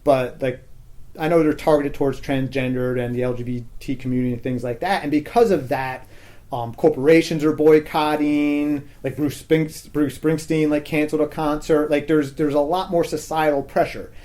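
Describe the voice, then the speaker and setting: An American man talking casually and argumentatively as a podcast guest, halting often and leaning on filler words. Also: fairly high pitch, steady tone, mellow. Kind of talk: casual opinionated conversation.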